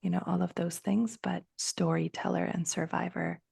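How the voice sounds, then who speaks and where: Slow thoughtful woman, heavy vocal fry, pretty monotone, measured talk. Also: lowish voice, dry recording, studio quality. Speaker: podcast guest. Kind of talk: reflective conversation.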